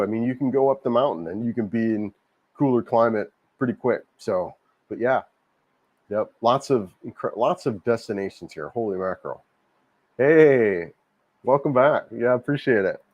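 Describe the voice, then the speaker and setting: A man hosting a casual livestream, a little hoarse from a cold, rambling and repeating himself as he answers viewer comments. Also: mid-range voice, lively intonation, normal speed, long pauses, no echo, dull audio. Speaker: livestream host. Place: home in Cebu, Philippines.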